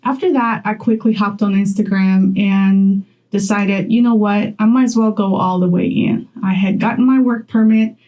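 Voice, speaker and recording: A woman's voice: medium pitch, steady tone, normal speed, dull audio. A young woman from Poland talking straight to camera in a chatty vlog, laughing often as she gives advice. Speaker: content creator.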